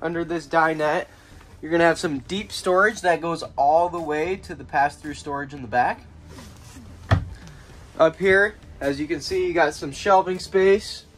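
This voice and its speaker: A young man giving a relaxed, plainspoken walkaround tour of a small camping trailer, filmed on a handheld phone outdoors and then inside. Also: fairly high pitch, unhurried. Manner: relaxed, plainspoken, pointing things out as he goes.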